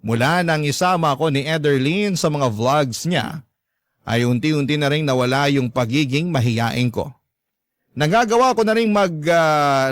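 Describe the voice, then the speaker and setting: A man's voice: fairly high pitch, no echo, studio quality. A man with a Filipino accent narrating a scripted first-person story for a radio drama.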